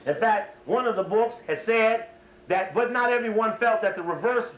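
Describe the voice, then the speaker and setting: A man's voice: high voice, normal speed, a bit bright. A man giving an extemporaneous lecture to a live audience, speaking in an emphatic, repetitive, digressive manner. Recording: echoey room, slightly distant microphone.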